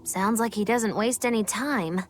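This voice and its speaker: A woman's voice: mid-range voice, unhurried, slight background noise, natural voice. A woman playing a sly, flippant, teasing woman in dubbed video game dialogue, playful and mocking. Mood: wry.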